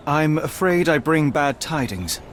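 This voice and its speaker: A man playing a composed, sharp-minded detective in a video game, speaking with precise, measured, analytical authority. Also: fairly high pitch, lively intonation, a little hiss or hum. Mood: composed, grave. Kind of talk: dramatic detective game dialogue and deduction narration.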